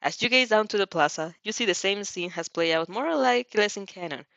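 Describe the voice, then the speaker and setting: A woman reading a story aloud in an expressive, amused way, sometimes stumbling and rereading phrases. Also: medium pitch, brisk, creaky, no echo, muffled recording. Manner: giggly, stumbles over phrases and rereads them. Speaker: fan fiction reader reacting as she reads.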